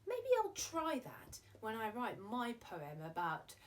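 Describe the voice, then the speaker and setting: A woman's voice: fairly high pitch, huge pitch swings, takes it slowly, a little hiss or hum. Playful woman presenting for children. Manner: playful, chatty, addressing young viewers. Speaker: children's arts show presenter. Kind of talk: children's presenting and poem reading.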